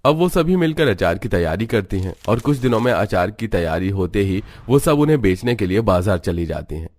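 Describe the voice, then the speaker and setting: A male narrator reads a scripted cartoon story. His voice is on the low side, in a storytelling style. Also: big pitch swings, fairly quick, darkish tone.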